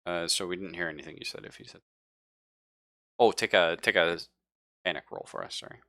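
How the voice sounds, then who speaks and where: A man's voice: deep voice, a little fast, pushed and bright, no room sound, studio quality. A man casually running a live tabletop roleplaying game as game master, with slangy, profane narration.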